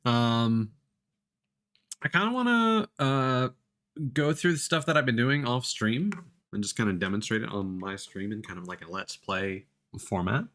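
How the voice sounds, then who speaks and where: A man giving casual let's play commentary as a game streamer, talking in halting phrases with frequent pauses. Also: medium pitch, animated, a bit bright.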